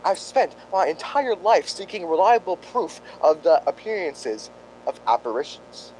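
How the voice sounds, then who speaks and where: A man's voice: high voice, sing-song, unhurried, darkish tone, slight background noise, poor audio. A man playing a solemn, earnest ghost-hunting doctor in an amateur radio drama, speaking in a formal, pedantic, grave manner. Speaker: paranormal investigator.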